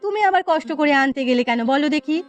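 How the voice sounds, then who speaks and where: A woman's voice: high-pitched, expressive, fast talker, soft background music. A woman voicing a caring, chatty village mother with a fussing, homely manner in a cartoon story. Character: caring village mother, young niece, mildly exasperated.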